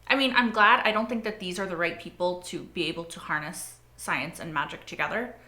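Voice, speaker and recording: A woman's voice: lowish voice, a little fast, pushed and bright. A woman hosting a reaction video, making chatty, reflective asides. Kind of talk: casual reaction commentary. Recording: echoey room.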